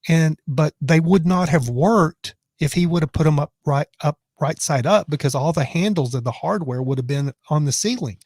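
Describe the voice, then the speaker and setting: A man hosting a live stream from his office, reading viewer comments and rambling in casual chat, his voice raspy from choking on his dinner. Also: a little high, moderate pace, hardly any pauses, a little mellow, no room sound, clean studio sound. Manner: rambling and chatty, many restarts.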